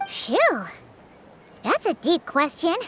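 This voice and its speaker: A woman's voice: extremely high pitch, huge pitch swings, takes it slowly, fairly bright tone, exaggerated voice. A woman voicing a tiny, chatty, childlike fairy companion in a video game. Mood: surprised. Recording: music behind the voice.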